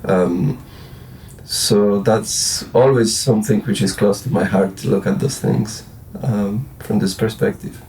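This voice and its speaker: A man's voice: fairly low pitch, fairly even intonation, a little slow, a little mellow, far from the mic, rough recording. A Polish man in his forties with a Polish accent, rambling and restarting as a guest in a casual late-night interview. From Poland. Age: 40s. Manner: rambling, hesitant, many restarts and fillers. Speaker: guest musician.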